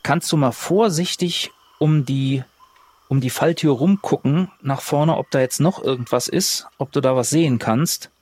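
A man's voice: mid-range voice, unhurried, darkish tone. A man speaking casually as a player in a tabletop role-playing game, improvising and describing his moves. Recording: echoey reverberant room with audible noise.

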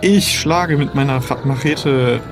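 A man's voice: medium pitch, measured pace, loud music behind the voice. A man playing an improvised audio role-play game, thinking aloud as he announces his actions. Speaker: player in an adventure game.

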